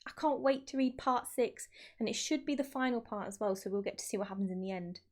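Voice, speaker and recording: A woman reading aloud to children as an expressive storyteller, speaking directly to her young listeners. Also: fairly high pitch, fast talker. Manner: addresses child listeners with questions.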